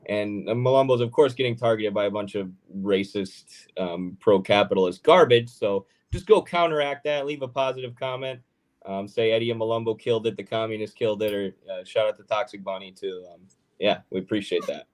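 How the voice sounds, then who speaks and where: A man's voice: lowish voice, fairly quick. A man on a live stream casually urging viewers to leave comments, with fillers between phrases. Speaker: debate participant.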